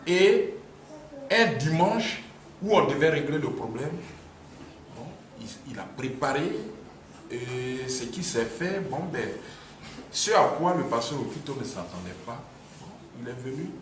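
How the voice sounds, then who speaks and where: A man tells the story of a church quarrel, his intonation rising and falling a lot as he circles back over points. He sits some distance from the mic in an echoey room, with steady background noise underneath. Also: medium pitch, a little slow. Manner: animated storytelling with repetitions. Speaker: church member recounting a dispute. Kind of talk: spontaneous spoken account. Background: ambient room noise.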